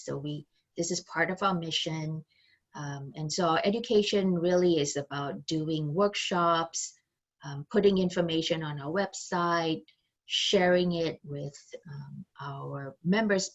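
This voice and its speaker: Lower-voiced woman presenting casually. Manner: conversational, many ums, trailing off at phrase ends. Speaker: chamber staff presenter. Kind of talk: virtual orientation talk.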